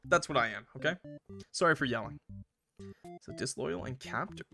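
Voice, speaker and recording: Animated, bright-voiced man livestreaming a game. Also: medium pitch, normal speed, gravelly, soft background music. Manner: excitable, playfully combative, swearing banter with viewers. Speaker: live streamer playing a game. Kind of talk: animated casual livestream chat and game commentary.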